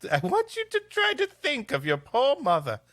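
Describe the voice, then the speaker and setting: A man's voice: very high voice, wildly swooping pitch, moderate pace, fairly bright tone, no room sound, faint music behind, voice pushed up, heavily acted. A man voicing a kindly schoolmistress in a comic storybook reading, earnest and soothing. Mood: kindly urging. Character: kindly, consoling schoolmistress, gently firm.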